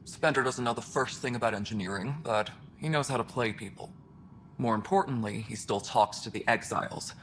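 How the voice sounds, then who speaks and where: A man's voice: medium pitch, a little fast, a bit bright, slight background noise, close to an everyday voice. A man playing a pragmatic, frustrated space station superintendent in a video game. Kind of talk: video game dialogue acting. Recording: faint background ambience.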